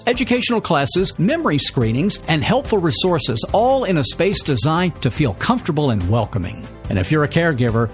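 A man reading a scripted radio ad in a gentle, reassuring manner. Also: on the high side. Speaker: announcer. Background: soft music.

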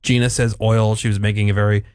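A man's voice: on the low side, flat delivery, fast, darkish tone, no room sound, clean studio sound. A man hosting a radio show, chatting casually and introducing listener voicemails. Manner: laid-back, introduces voicemails. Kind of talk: casual chat.

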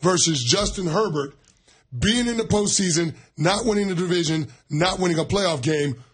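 A hoarse man co-hosting a sports talk show, posing what-if questions about quarterbacks. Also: high-pitched, normal speed. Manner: questioning, poses scenarios. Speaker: sports radio co-host. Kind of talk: casual sports debate.

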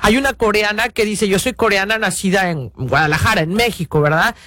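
A man's voice: sits high, brisk, pushed and bright, no echo, studio quality. A man hosting a radio show, talking earnestly and ramblingly to parents, with many restarts and fillers. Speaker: radio host. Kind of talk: casual radio talk to parents.

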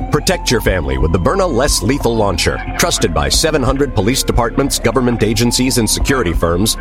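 A man reading a commercial in a serious, measured announcer style. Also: on the low side. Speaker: ad voice-over. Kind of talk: scripted commercial read. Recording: clear music bed behind.

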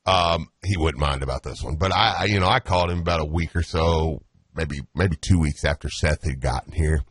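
A man hosting a casual sports talk show, excitable and prone to stammering restarts. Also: a bass voice, a little fast, husky, no room sound, clean studio sound.

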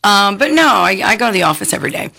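Raspy, energetic woman mayor on air. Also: medium pitch. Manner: energetic, quick, restarts often. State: raspy voice from talking a lot. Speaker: mayor, studio guest. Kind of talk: casual radio interview. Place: radio studio. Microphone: studio microphone.

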